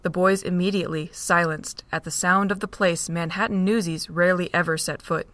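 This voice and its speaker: Woman narrator for amateur audio drama, lowish voice, dry close mic, steady read. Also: fairly quick, dry recording. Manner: steady, reading aloud.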